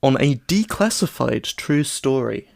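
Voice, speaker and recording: A man with a mid-pitched, fry-heavy voice co-hosts a film podcast. He talks casually in a halting, dryly playful way, and the sound is close and dry. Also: lively intonation, no room sound. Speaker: podcast co-host.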